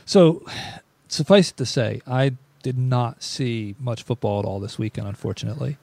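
A man's voice: medium pitch, normal speed, gravelly, dark tone, a little hiss or hum. A man hosting a sports podcast, chatting casually and rambling a little. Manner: loose, rambling with fillers. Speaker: podcast host. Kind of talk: casual chat.

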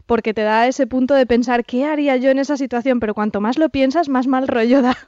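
A woman's voice: high-pitched, steady tone, brisk, a little mellow, no echo. A woman with a Castilian Spanish accent chatting casually as a panelist in a discussion. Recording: dry, slightly dull sound.

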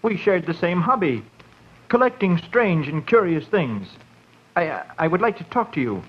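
An actor in a 1940s radio horror drama playing a strangely eager little fat collector, speaking in an insinuating way. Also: male voice, fairly high pitch, big pitch swings, moderate pace, slightly rough. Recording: muffled 1940s radio recording.

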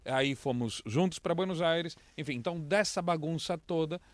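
A Brazilian man, an international correspondent, who speaks with a mixed accent and tells stories with lively swings in pitch. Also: normal speed, no room sound. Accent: mixed Brazilian Portuguese. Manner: animated storyteller, lively pitch jumps. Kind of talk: casual interview chat.

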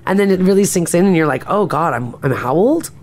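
A woman's voice: lowish voice, lively intonation, a little fast, darkish tone. A woman co-hosting a podcast, reading listener texts aloud in easygoing chat. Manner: easygoing, reads messages aloud. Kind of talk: casual chat.

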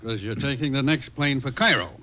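A brisk American agency chief from a vintage radio play, played by a man. He is businesslike and dry, with a bright, forceful voice at medium pitch. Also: lightly acted. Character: brisk, dry male government agency commissioner. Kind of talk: 1950 radio drama acting.

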